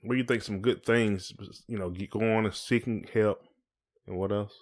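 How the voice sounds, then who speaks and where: Low male voice, American accent, casual podcast host, colloquial, conversational. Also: steady tone, normal speed. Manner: colloquial, often checks in with "you know".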